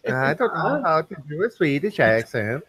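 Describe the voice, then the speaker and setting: A man's voice: a little high, sing-song, normal speed, a bit bright, slightly rough, dry recording. A man hosting a casual live stream, reading the chat aloud and joking back at his co-host.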